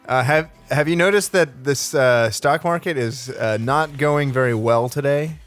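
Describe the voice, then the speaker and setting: A man playing an awkward, halting stockbroker making small talk in a comedy script reading. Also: mid-range voice, fairly bright tone, soft background music, lightly acted. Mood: awkward, friendly.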